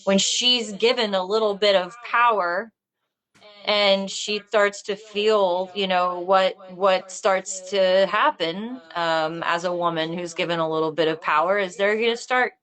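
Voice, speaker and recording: A woman talking casually in a panel discussion, thinking aloud in a halting, rambling way. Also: medium pitch, a bit bright, low-quality recording. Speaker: filmmaker discussing own script.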